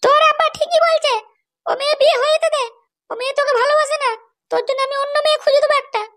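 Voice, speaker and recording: A shrill fretting cartoon mum. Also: fairly even intonation, rapid-fire, a bit bright. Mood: soothing. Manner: anxious, doting. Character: fussing worried mother, high-pitched. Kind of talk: cartoon voice acting.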